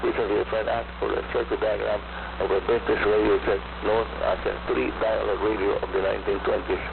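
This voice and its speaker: A man's voice: fairly low pitch, vocal fry, phone-quality sound. Crackly shortwave broadcast, older Cuban man. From Cuba. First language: Spanish. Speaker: radio program host. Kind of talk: scripted radio hobby program narration. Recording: weak fading shortwave radio, static and hum. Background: static noise and hum.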